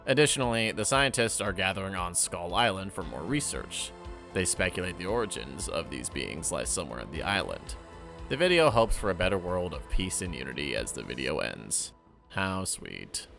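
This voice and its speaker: A man's voice: sits low, big pitch swings, moderate pace, vocal fry, over music. A man narrating a scripted recap and review with wry asides. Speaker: guest co-commentator.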